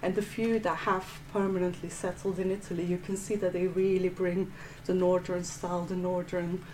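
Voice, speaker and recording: A woman from Flanders with a Flemish accent, a museum curator giving a measured art history lecture with frequent restarts. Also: on the low side, little pitch movement, mellow, slight background noise, low-quality recording. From Belgium (Flanders). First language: Dutch. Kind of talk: prepared lecture.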